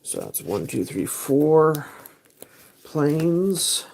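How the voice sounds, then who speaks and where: A man's voice: a little high, sing-song, drawn-out words, creaky, darkish tone. A man hosting a casual livestream, thinking aloud as he picks cards. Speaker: livestream host.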